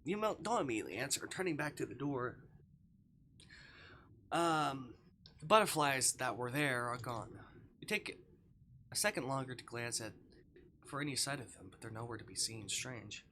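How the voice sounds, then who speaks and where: A man's voice: mid-range voice, lively intonation, normal speed, pauses often, bright, forceful tone, slight background noise. A man reading a visual novel aloud on a live stream, narrating dramatically and adding casual asides.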